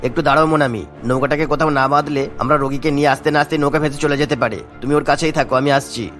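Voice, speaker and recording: A man's voice: fairly high pitch, steady tone, quick pace, a bit bright, faint music behind, close to an everyday voice. A man voicing a kindly, dutiful elderly village doctor in a cartoon, earnest and reassuring in manner. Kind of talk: Bengali cartoon voice acting.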